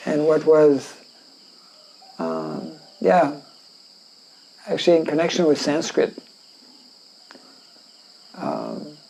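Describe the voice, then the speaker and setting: A man with a French Canadian accent, a native French speaker from Canada, reading and explaining scripture in a class, halting with fillers and frequent throat clearing. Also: a little high, dark tone, low-quality recording. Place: indoor class in a rural town.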